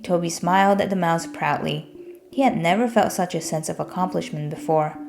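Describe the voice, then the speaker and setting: A woman's voice: on the low side, normal speed, vocal fry, music in the background. A woman narrating a children's storybook as a scripted read-aloud.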